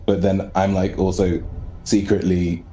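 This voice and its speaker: A man's voice: low-pitched, little pitch movement, measured pace, mellow. A man casually narrating his character's reactions as a player in a tabletop roleplaying game.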